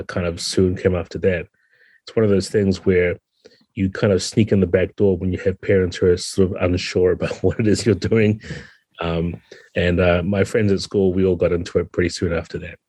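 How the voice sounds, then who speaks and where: A man from New Zealand in his fifties talks casually as an interview guest. His voice is low and mellow, with a husky edge. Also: no room sound. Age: 50s. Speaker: interview guest, game designer.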